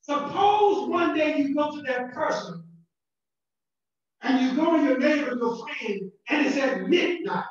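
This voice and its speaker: A man with a Southern American accent, a pastor preaching a sermon with shouted emphasis and repeated words. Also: extremely high pitch, animated, unhurried. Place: church sanctuary. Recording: distant, very echoey and muffled church recording.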